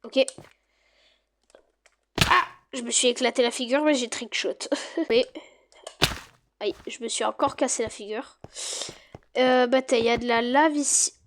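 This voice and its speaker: A woman's voice: on the high side, measured pace, pauses often, a little hiss or hum. Sniffly woman chatting over gameplay. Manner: chatty, excitable, addresses viewers directly. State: stuffy with a cold. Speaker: gaming YouTuber. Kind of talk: casual gameplay commentary.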